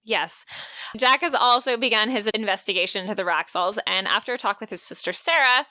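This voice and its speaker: Bright-voiced woman co-hosting a podcast. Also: medium pitch, brisk, no room sound. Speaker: podcast co-host. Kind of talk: book discussion with plot recap and read quotes.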